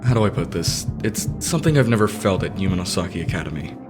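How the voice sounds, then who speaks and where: A serious teenage boy idol acted by a man speaks in a low voice with formal, measured phrasing. He is proud and quick to feel slighted, as in an anime dub. Loud music plays underneath. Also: expressive. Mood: stern, indignant. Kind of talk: anime fan dub acting.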